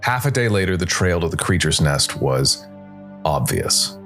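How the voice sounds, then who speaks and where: A man's voice: sits low, big pitch swings, unhurried, a bit bright. A man narrating a scripted fantasy tale dramatically as a storyteller. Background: music.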